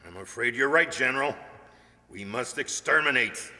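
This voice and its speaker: Man acting a sharp, commanding security chief. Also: medium pitch. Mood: urgent. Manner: brisk, commanding. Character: urgent, exasperated government security chief, a man. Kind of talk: comic science-fiction radio play acting. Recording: echoey hall with long reverb.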